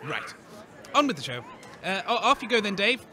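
A man's voice: high voice, big pitch swings, moderate pace, bright, forceful tone, exaggerated voice. A man playing a grumpy, pessimistic radio co-host who talks in a scornful, pompous, exasperated manner.

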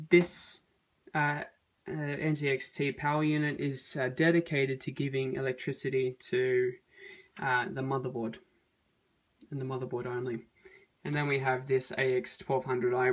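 A man in his thirties giving a casual handheld walkthrough of a computer build at home, filled with ums and uhs, recorded on a phone camera. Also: mid-range voice. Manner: hesitant, many ums and uhs. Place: home room indoors. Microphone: phone camera held in hand.

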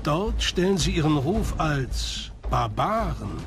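A man's voice: on the high side, very animated, takes it slowly. A man reading scripted documentary narration. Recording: music bed under the voice. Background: background music.

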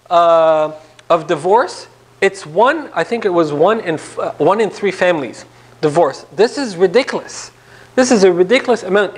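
A man with a notably high-pitched voice gives an informal church lecture. He talks like a priest addressing a small group, animated and conversational, and keeps putting questions to his listeners. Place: small indoor meeting room.

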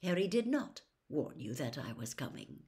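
A woman voicing a pleasant, calm old male wizard with formal, measured, polite speech in a storybook reading. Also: low-pitched, pitched below the performer's own voice. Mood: courteous, unruffled.

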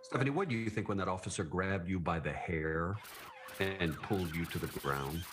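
A man's voice: low voice, fairly quick. A middle-aged man delivering a broadcast news report as a television correspondent. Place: TV news studio. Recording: noisy replayed news broadcast.

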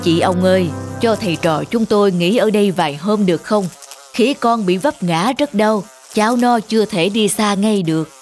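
A woman voicing a patient, stern bear wizard teacher in a cartoon, instructive and measured. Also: mid-range voice, darkish tone, soft background music, natural voice. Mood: courteous. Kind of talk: cartoon fable voice acting.

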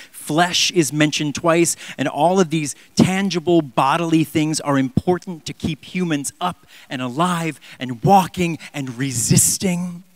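A man in his thirties preaching a sermon in a church on a handheld mic, impassioned, swinging from loud emphatic peaks down to near-whispers. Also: fairly high pitch, dry recording. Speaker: pastor. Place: large church sanctuary.

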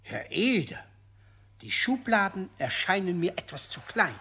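A man's voice: sits high, very animated, unhurried, gravelly, sharp tone, slightly rough, exaggerated voice. An actor playing a pedantic, fault-finding male customer in a children's audio drama, speaking in stilted, long-winded formal phrases.

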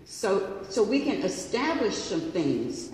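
A woman council member speaking at a public meeting, measured and insistent on procedure, heard in a large council chamber. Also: mid-range voice, big pitch swings, a little mellow, slight background noise. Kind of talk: formal public meeting remarks. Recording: echoey hall from far off.

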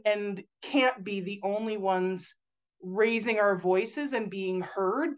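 A woman in her forties, a guest on a video call interview, talking loudly and animatedly with lots of fillers and restarts. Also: mid-range voice, lo-fi sound. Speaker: guest author. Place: home room indoors. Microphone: video call webcam.

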